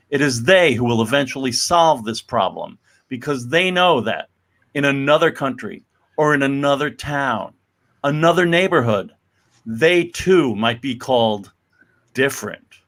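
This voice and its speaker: A man's voice: mid-range voice, expressive, measured pace, fairly bright tone. A man playing a solemn, earnest 1950s comic-book editor introducing a story in dramatic scripted narration.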